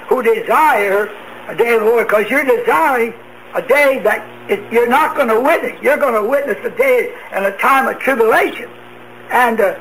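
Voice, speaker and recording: A man's voice: very high-pitched, a bit bright. A man preaching an end-times radio sermon, emphatic, asking rhetorical questions and answering them himself. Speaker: preacher, self-proclaimed prophet. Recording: noisy radio broadcast.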